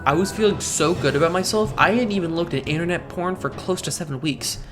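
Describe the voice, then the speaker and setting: A man's voice: a little high, brisk, close to an everyday voice. A man voicing a geeky, idealistic teenage boy who narrates a comic dub in a wry, self-deprecating way. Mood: wry, reflective. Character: geeky, idealistic teenage boy, self-made masked hero, wryly sardonic. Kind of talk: first-person narration and dialogue in a comic dub. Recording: loud music under the voice.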